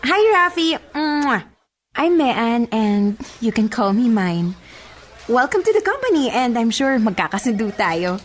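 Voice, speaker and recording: Actress as high-pitched sassy young office friend. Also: female voice, big pitch swings, a little slow. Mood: coy, competitive. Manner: chatty, coy, mock-scolding. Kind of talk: radio drama acting.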